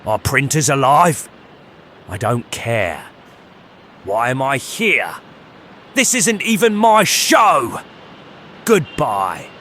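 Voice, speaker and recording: A man's voice: medium pitch, big pitch swings, slow pace, long pauses, pushed and bright, a little hiss or hum, heavily acted. A man voicing a grumpy, fed-up puppet, curt and indignant, in a comic interview. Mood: irritated. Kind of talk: comic puppet vox pop.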